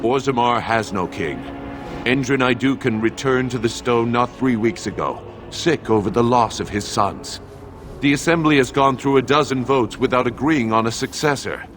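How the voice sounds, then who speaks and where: An actor playing an unyielding dwarven gate guard in a video game, blunt and official. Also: male voice, medium pitch, moderate pace, music in the background, close to an everyday voice. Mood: grave.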